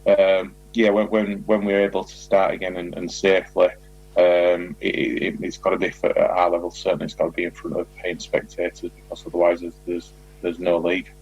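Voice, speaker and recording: A man's voice: low voice, monotone, fairly quick, darkish tone, husky, dull audio, faint music behind. A man talking as a football manager in an informal interview, repeating words as he explains.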